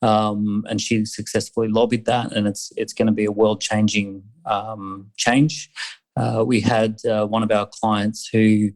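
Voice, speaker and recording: A man's voice: on the low side, level tone, measured pace, darkish tone. A man running an accelerator, talking earnestly with many fillers and restarts. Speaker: accelerator founder. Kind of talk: conversational interview.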